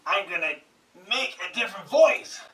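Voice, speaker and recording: High-pitched, animated man giving a tutorial. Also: normal speed, recorded from a distance. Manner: goofy, exaggerated exclamations and asides. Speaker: instructor. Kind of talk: upbeat tutorial presenting.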